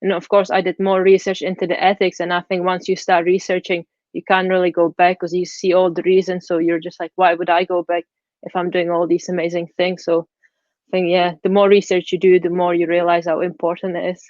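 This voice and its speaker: A young woman from the Czech Republic with a Czech accent, a guest blogger answering interview questions in a relaxed, frank way on a video call. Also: on the low side, fairly quick, dry recording. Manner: relaxed, frank, slightly non-native grammar. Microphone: earbuds on a video call. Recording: dull lo-fi call audio.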